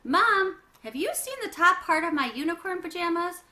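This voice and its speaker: A woman's voice: very high voice, expressive, normal speed, bright, forceful tone, pitched above the performer's own voice, full character voice. A woman voicing an indignant, bossy young girl in a read-aloud storybook, with an exasperated, insistent delivery.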